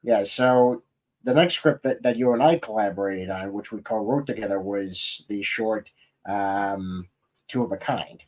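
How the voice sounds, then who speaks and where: Low-voiced man hosting a casual podcast. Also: measured pace, poor audio. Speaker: podcast host, scriptwriter. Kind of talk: casual interview chat.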